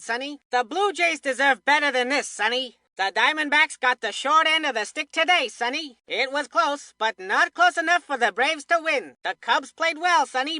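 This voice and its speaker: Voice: sits high, sharp tone, dry recording. An actor playing a folksy old-timer baseball commentator in a cartoon video game, with old-timey phrasing. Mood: sympathetic. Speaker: sports commentator.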